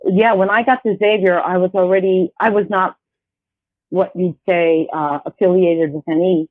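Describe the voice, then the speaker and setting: A woman's voice: fairly low pitch, steady tone, moderate pace, dry recording. Hesitant woman in her fifties on a tinny line. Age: 50s. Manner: rambling, hesitant, many restarts. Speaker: guest. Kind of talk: casual interview.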